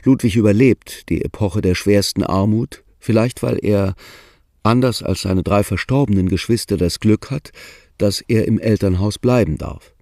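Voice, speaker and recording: A man narrating a family history in a steady, matter-of-fact manner. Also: lowish voice, dry recording, studio quality. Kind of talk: documentary narration.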